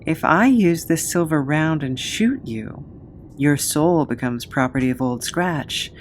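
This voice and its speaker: A woman playing a cornered, defiant hero in an audio drama, with halting, wry delivery. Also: low-pitched, lively intonation, slight background noise.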